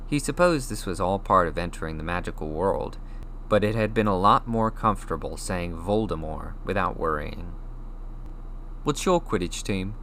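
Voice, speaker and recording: A man reading a storybook aloud as an expressive audiobook narrator. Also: deep voice, moderate pace. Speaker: audiobook reader. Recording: faint noise and hum.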